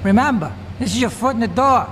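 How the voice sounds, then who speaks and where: A man's voice: very high-pitched, animated, quick pace, a bit bright. An actor playing a bossy, streetwise male mobster nightclub owner in a video game cutscene. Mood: commanding. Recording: clear vehicle and ambient noise.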